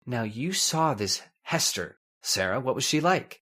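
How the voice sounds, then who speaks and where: A woman's voice: a bass voice, lively intonation, a little slow, a bit bright, slightly rough, exaggerated voice. A woman playing a worried, agitated husband in an old-time radio horror play, urgent and earnest with stammers. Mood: insistent.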